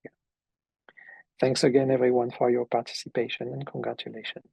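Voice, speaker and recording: Gravelly, husky man hosting a call. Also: medium pitch, level tone, darkish tone, dry recording, lo-fi sound. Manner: halting, many fillers. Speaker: community call host. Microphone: video call.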